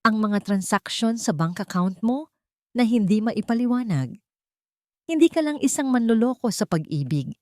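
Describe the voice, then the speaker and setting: A woman voicing a composed, defiant young woman in an audio love drama, calm, cutting and deliberate. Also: a little high, no echo, clean studio sound, pitched above the performer's own voice, close to an everyday voice. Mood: cold accusation.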